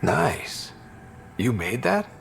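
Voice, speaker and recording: Animated, gravelly young space hero. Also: male voice, mid-range voice, very slow, a little hiss or hum, exaggerated voice. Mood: warm. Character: young, easygoing, wry human space explorer hero. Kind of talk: naturalistic video game dialogue acting.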